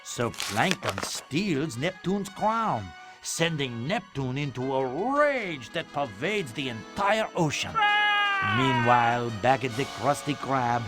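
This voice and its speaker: A man playing a grandiose, dryly amused storybook narrator for a cartoon game, florid and deliberate. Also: medium pitch, big pitch swings. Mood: storytelling, dramatic. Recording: music playing behind the voice.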